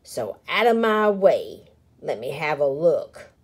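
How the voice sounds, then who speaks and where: A woman voicing a mean, bossy male prairie dog bully with a booming, growling voice in a picture-book reading. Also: big pitch swings, takes it slowly, slightly rough, exaggerated voice. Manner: snarling, scornful, commanding.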